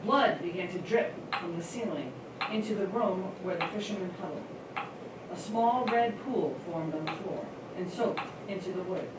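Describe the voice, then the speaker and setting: A woman's voice: on the low side, normal speed. A woman giving a dramatic reading of a spooky story. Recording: very noisy, echoey, distant microphone.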